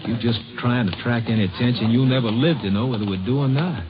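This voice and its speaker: An actor playing a sly, folksy American crook who poses as a street Santa in an old radio drama. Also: male voice, medium pitch, fast talker, natural voice. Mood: quiet menace. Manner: folksy at first, then quietly threatening. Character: sly bank robber posing as folksy charity Santa. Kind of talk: old-time radio crime drama acting. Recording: muffled, hissy old radio recording.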